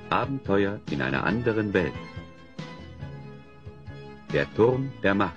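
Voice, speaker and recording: A man narrating a fantasy audio drama in a measured, dramatic, scripted storytelling style. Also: lowish voice, big pitch swings, dull audio. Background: music.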